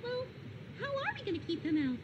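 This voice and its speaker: A bubbly, teasing cartoon girlfriend-next-door puppet. The voice is extremely high and swoops wildly, over loud background noise. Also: sing-song, fairly quick, dull audio. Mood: playful. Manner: giggly, playful, exaggerated. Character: bubbly, playful, teasing female puppet friend. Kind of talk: cartoon character voice acting.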